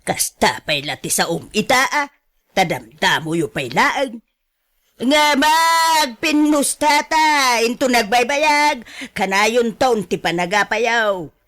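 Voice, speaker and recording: A woman playing a blunt, disapproving mother of a grown son in a radio drama, scolding and complaining in a drawn-out way. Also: on the high side, lively intonation, a bit bright. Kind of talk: Ilocano radio drama acting.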